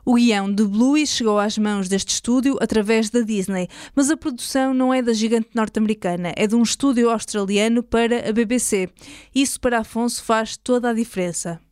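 Female reporter-narrator, slightly high voice, European Portuguese, scripted feature read, dry studio-like sound. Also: fairly quick, darkish tone, smooth, no room sound.

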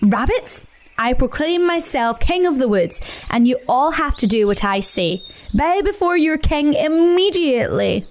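A woman's voice: high voice, sing-song, unhurried, voice pushed up. A woman voicing a pompous male badger who crowns himself king and speaks in grand, old-fashioned royal words. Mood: commanding.

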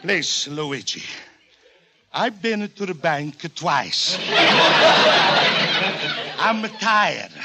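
Slow, gravelly, pleading man, high-pitched. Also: big pitch swings, heavy background noise, heavily acted. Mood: weary, pleading. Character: weary, pleading man wanting his money back. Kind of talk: 1940s radio comedy acting. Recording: old radio recording under loud music.

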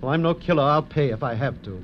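A man's voice: mid-range voice, fast talker, lightly acted. An actor playing an anxious, protective wealthy man in 1940s radio drama, earnest and urgent. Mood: pleading. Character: anxious wealthy protective husband, male blackmail victim in wheelchair. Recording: muffled old radio recording, faint noise.

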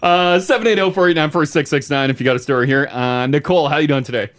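A man's voice: on the high side, a bit bright. A man co-hosting a morning radio show, taking callers in casual banter and laughing often. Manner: laughs often, quick reactions.

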